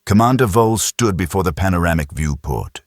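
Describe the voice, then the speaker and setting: Very deep, husky male audiobook narrator. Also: lively intonation, fairly quick, dry recording, studio quality. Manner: grave, suspenseful storytelling. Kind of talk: dramatic scripted sci-fi narration.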